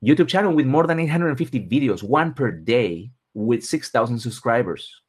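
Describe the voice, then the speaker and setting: A man hosts a webinar. He works through his points one by one, pausing between items in a steady, list-like delivery. His pitch sits in the middle range for a man. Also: husky. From Argentina. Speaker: webinar host and relocation consultant. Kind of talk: presenting a webinar.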